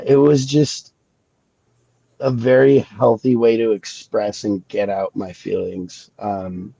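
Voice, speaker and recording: A man with a gravelly edge to his voice, sniffling and stuffy from a cold. He talks slowly and reflectively, with lots of fillers and restarts. The sound is dry and a bit dull. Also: on the low side, warm tone, dry recording. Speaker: guest comic creator. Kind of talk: casual podcast chat.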